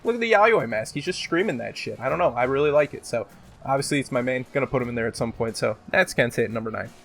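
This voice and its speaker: A man's voice: mid-range voice, expressive, fast talker, creaky, fairly bright tone, faint music behind. A man casually hosting a gaming countdown video, talking in a joking, exaggerating way with some swearing. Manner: belts out game voice lines. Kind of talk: casual top-ten countdown commentary.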